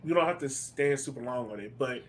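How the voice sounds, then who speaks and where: A man's voice: medium pitch, brisk. A man hosting a podcast interview in a casual, slangy, chatty way. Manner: informal, slangy. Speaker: podcast host interviewing guests.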